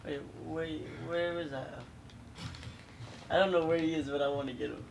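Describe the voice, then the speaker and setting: A man with a voice worn from yelling, giving quick calls and swearing while playing a co-op shooter. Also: a little high, fairly even intonation, vocal fry. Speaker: co-op gaming partner. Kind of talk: casual gaming chat. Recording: noisy room recording.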